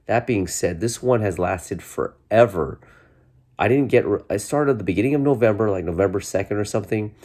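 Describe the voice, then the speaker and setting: Deep-voiced congested man in his forties. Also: fairly quick, darkish tone. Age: 40s. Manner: rambling, many restarts and fillers. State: congested, getting over a cold. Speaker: vlogger. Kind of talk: casual chat to camera. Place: bedroom, indoors.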